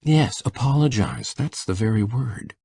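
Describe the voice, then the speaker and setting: A man acts out a shy older farm man in an audiobook drama. His voice is gravelly and mellow with lively swings, recorded dry. He coaxes softly and haltingly, with rustic kindliness. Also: medium pitch, heavily acted. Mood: eager, gentle.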